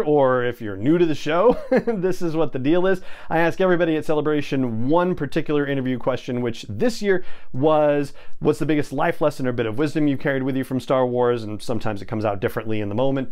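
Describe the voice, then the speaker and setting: A middle-aged man hosts a podcast with a smooth, clear voice at a medium pitch. He talks straight to his listeners in an effusive, enthusiastic way, close on a lapel mic in a home studio. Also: a little mellow. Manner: effusive, upbeat. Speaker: podcast host. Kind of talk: enthusiastic podcast monologue to camera. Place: small home studio room.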